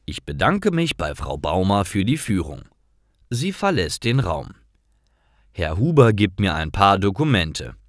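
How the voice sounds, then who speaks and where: A man reading scripted stories for language learners as narrator, in simple, clearly phrased storytelling. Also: low voice, very animated, measured pace, dry recording, clean studio sound.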